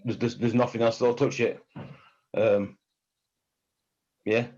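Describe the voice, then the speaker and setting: Flat-voiced man, halting speech. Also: medium pitch, lo-fi sound. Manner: halting, repeats words. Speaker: community member. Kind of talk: casual video call chat.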